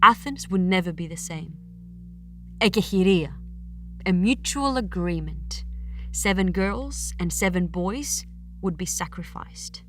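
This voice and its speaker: A female chorus figure from Greek tragedy, acted by a woman, grave and intense. Her bright, forceful voice declaims the tale with stage emphasis over quiet music. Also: on the low side, lively intonation, a little slow. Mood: grave, emphatic. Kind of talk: theatrical chorus narration. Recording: music under the voice.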